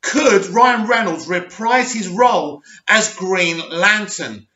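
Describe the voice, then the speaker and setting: A man hosting a show, giving blunt, emphatic opinions in a slightly croaky voice. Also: sits high, slow, bright, forceful tone. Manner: blunt, emphatic, repeats words for stress. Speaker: host. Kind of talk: opinionated commentary monologue. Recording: roomy, dull lo-fi sound.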